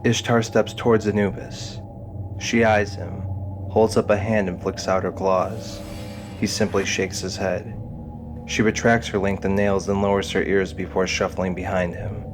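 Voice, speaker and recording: A man's voice: deep voice, level tone, a little slow. A man reading scripted dramatic narration as the narrator of an audio drama. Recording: music behind the voice.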